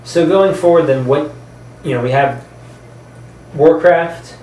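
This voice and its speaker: A man's voice: fairly high pitch, slow pace, warm tone. Man in casual debate, roomy distant recording. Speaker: podcast co-host. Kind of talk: casual opinionated discussion. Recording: roomy, distant mic, background noise.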